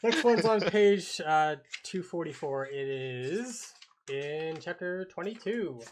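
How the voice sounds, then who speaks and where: Book club podcast host chatting casually, swears a bit, medium pitched voice. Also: animated, takes it slowly, a little hiss or hum. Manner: informal, sweary.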